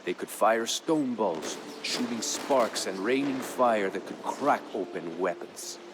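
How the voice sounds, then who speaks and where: A man voicing a grave Aztec jaguar warrior of royal blood, recounting events in measured, solemn game narration. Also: on the low side, lively intonation, noisy background. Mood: grave, foreboding. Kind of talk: scripted dramatic narration for a game. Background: music.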